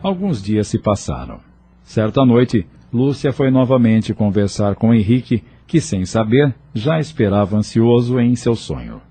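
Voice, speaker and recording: A male narrator reads a scripted audio novel dramatically. His voice is husky and warm-dark, with very lively intonation that carries the story. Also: fairly low pitch, a little slow, faint music behind.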